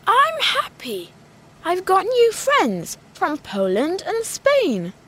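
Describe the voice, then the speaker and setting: A woman doing a very high, lively young boy. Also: slow pace, a little hiss or hum, full character voice. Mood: bright. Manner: clear, careful, sing-song delivery. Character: cheerful young boy at summer camp. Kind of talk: scripted textbook dialogue.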